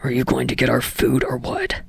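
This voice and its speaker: A gruff young man from an audiobook story, acted out by a woman. The voice is a low, breathy rasp full of heavy fry, close and dry. Delivery is curt, snappish and irritable. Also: monotone, a little fast, no room sound, exaggerated voice. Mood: grumpy, dismissive. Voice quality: husky, gravelly low mutter.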